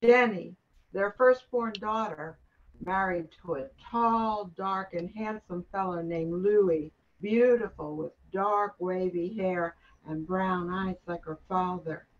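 A woman's voice: lowish voice, slow. An older woman reading scripted character introductions for an amateur radio play. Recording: dull, lo-fi sound.

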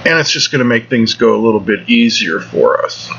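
A man's voice: medium pitch, lively intonation, brisk, creaky, fairly bright tone. Brief: middle-aged male welding host, down-to-earth shop talk, muffled camera-mic sound in a garage with a faint AC hum. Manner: plain-spoken, folksy shop talk. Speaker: welding instructor, show host. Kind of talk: casual instructional voice-over. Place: home welding shop, indoors. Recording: dull lo-fi sound with faint air conditioning hum.

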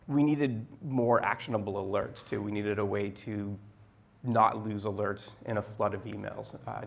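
A middle-aged man presents at a meetup, speaking into a handheld mic. His low voice is heavily gravelly, with lots of vocal fry. He talks in a measured, slightly halting way, filling pauses with ums. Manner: measured, frequent ums and restarts. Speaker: conference speaker. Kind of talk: technical presentation. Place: meetup room, indoors. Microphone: handheld microphone.

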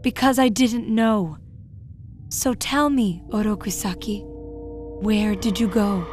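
Woman as a slow, probing mystic adviser. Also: mid-range voice, music in the background, lightly acted. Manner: measured, probing. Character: insistent, shrewd mystic woman advising a warlord. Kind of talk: audio comic drama acting.

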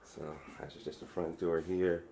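A man with a very low voice walks through a house set, giving casual, slangy commentary. The room is echoey. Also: little pitch movement, measured pace, a crowd in the background. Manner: easygoing, joking, slangy. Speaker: behind-the-scenes camera narrator. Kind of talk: casual behind-the-scenes commentary. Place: indoors in a house on a film set.

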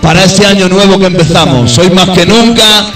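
A man preaching a sermon, passionate, dropping to quiet low tones and then rising to shouted peaks. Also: moderate pace, recorded from a distance, loud music behind the voice. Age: adult. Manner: impassioned, swings between low intimate tones and high shouted peaks.

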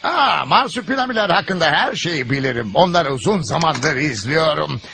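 An actor playing a sly, scheming hunter in a dubbed cartoon, with an oily, theatrical way of talking. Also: male voice, fairly high pitch, lively intonation, normal speed, creaky, a crowd in the background. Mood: ominous warning.

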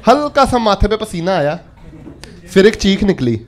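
A man in his thirties telling animated stand-up stories on a club stage, holding a handheld mic and acting out quotes in different voices. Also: high voice, normal speed, a crowd in the background, noisy background. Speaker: stand-up comedian. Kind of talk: stand-up comedy storytelling. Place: comedy club stage before a live audience. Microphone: handheld stage microphone. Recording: live club audience laughter.

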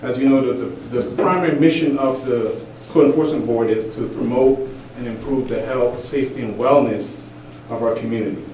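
A man's voice: mid-range voice, fairly quick, few pauses, dark tone, a little hiss or hum. A man addressing a council meeting as a board member, earnest and urging. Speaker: code enforcement board member. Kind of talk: public comment at a council meeting. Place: large council chamber. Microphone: podium microphone heard from a distance. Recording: echoey chamber, mic at a distance.

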